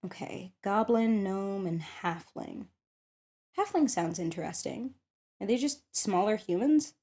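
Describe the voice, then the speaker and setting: A woman's voice: mid-range voice, animated, a little slow, darkish tone. A woman playing a shy but eager popular schoolgirl in an ASMR roleplay, chatting hesitantly and thinking aloud. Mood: playful, eager. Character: shy, eager popular schoolgirl curious about tabletop games. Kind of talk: ASMR roleplay, one-sided conversation.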